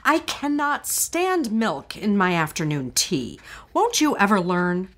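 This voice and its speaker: An actress playing a cold, scheming wealthy wife in an old-time radio drama, haughty and scolding. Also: female voice, mid-range voice, big pitch swings, takes it slowly. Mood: scornful.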